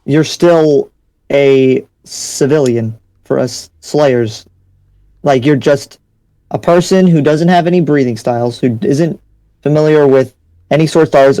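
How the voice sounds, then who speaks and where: Man playing a dark-voiced, halting, paranoid demon slayer. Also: steady tone, close to an everyday voice. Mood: dismissive. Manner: halting, repeats words, low-key. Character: frustrated, paranoid male demon slayer newcomer. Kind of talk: improvised anime Minecraft roleplay. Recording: slightly echoey room, music behind.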